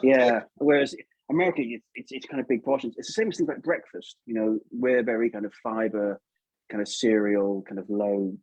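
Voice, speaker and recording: A man's voice: medium pitch, moderate pace, darkish tone, no room sound, dull audio. A man with an English accent chatting casually as an interview guest, informal and often tagging questions onto his remarks. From England. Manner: informal, chatty, seeks agreement with tag questions. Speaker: guest puppeteer.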